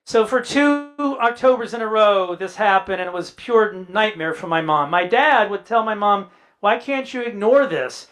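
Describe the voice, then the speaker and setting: A man's voice: sits high, normal speed, fairly bright tone. A man in his sixties from the US Midwest, giving a talk as a guest speaker and telling family anecdotes with dry humor, often quoting relatives in dialogue. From Indiana, US Midwest. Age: 60s. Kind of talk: personal storytelling talk.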